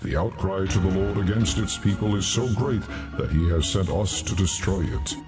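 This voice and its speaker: An actor playing a solemn, stern angel of God in a dramatized scripture drama, speaking with grave, measured authority. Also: male voice, sits low, natural voice. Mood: urgent warning. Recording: music behind the voice. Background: clear background music.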